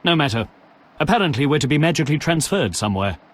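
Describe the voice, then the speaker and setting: An actor playing an earnest, righteous knight in a video game, speaking in a solemn, formal manner. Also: male voice, fairly high pitch, very animated, fast talker, slight background noise. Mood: wary, righteous. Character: earnest, righteous male knight of a holy order. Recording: long reverberant hall echo.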